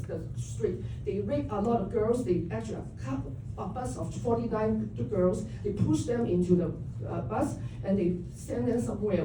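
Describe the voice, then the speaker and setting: A woman from Hong Kong giving a public comment at a city council meeting, speaking urgently and stumbling over repeated words. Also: fairly high pitch. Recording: echoey chamber, far mic, noisy.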